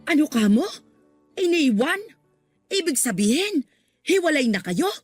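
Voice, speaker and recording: Casting: strict middle-aged Filipino mom, excitable, highish voice with big swings, music underneath. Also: female voice, measured pace. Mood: shocked, indignant. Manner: scolding, blunt, excitable. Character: strict, hot-tempered Filipino mother and new grandmother. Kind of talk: radio drama acting.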